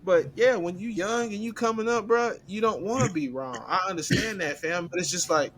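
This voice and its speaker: A man's voice: high voice, moderate pace, fairly bright tone, a little hiss or hum. A man in his thirties, co-hosting a podcast, telling a work story in a laid-back, slangy way. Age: 30s. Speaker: podcast co-host. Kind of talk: casual chat.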